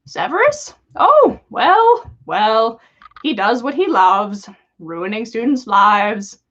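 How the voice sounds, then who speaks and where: A woman's voice: a little high, sing-song, takes it slowly, fairly bright tone, dull audio, full character voice. A woman voicing a cheerful, unbothered old male wizard in a breezy, amiable manner for a fan fiction reading.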